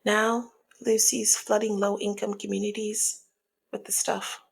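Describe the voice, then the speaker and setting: A woman playing a teasing, dominant mob boss in an ASMR roleplay, with a breathy, husky voice that often slips into a whisper and a slyly menacing, sultry manner. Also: mid-range voice, level tone, a little slow, poor audio. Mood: sly, businesslike. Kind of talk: ASMR roleplay audio drama.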